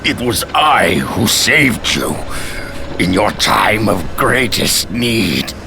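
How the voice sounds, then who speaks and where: A brutal war god speaks with a gravelly, booming rasp. He drags out every word, swinging from low rumbles to roars. Loud game music surges under him. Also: very animated, slow pace, background noise, exaggerated voice. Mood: scornful. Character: brutal towering male war god, menacing. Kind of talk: video game cutscene acting.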